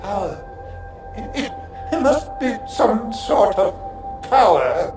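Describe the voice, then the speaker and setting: An actor playing an older captive scholar mage, broken and rambling, speaking haltingly and poetically in a theatrical video game style. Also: male voice, high voice, very animated, heavily acted. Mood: awed, halting. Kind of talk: dramatic video game acting. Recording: echoing voice over loud music.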